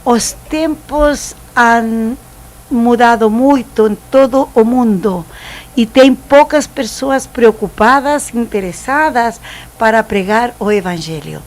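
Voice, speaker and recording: A woman in her seventies with a Chilean Spanish accent, a missionary giving a halting, preaching testimony and searching for words. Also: fairly high pitch. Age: 70s. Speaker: missionary guest.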